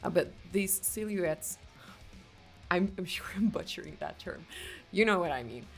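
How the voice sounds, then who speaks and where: A woman's voice: lowish voice, expressive, a little slow, gravelly, soft background music. A woman from Finland with a Finland-Swedish accent and Swedish as her first language, gushing with enthusiasm as she hosts casual reaction commentary. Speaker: reaction video host.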